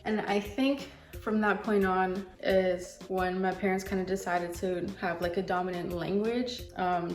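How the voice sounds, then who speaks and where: A woman from the United States chatting in a rambling way while she cooks in her home kitchen. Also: mid-range voice. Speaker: cooking vlogger. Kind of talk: casual cooking vlog chat. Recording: echoey kitchen, distant mic, soft music.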